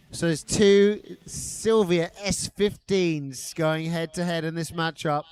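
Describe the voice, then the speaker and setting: A man calling a live drift competition, excitable, with jokey asides between calls. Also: fairly high pitch, smooth. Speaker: drift event commentator. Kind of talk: live motorsport commentary.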